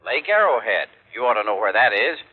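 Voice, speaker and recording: An actor playing a brisk, chummy male magazine man with a husky voice, speaking on a telephone call in 1950s radio drama style. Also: on the low side, sing-song. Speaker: magazine publisher. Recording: narrow, phone-like old radio broadcast.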